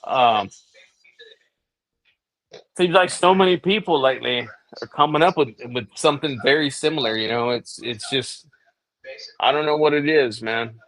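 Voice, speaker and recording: Stream host guy, bright sharp voice, kinda stammery and sympathetic. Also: medium pitch, normal speed, long pauses, rough recording. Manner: sympathetic, stammers and repeats words. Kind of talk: casual chat on a live stream.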